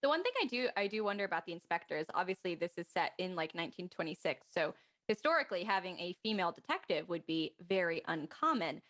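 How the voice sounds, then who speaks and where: A woman's voice: on the low side, very animated, quick pace, fairly bright tone. A woman in a casual group chat about an audio drama, reasoning things through aloud. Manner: analytical, thinking aloud. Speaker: cast member discussing an audio drama.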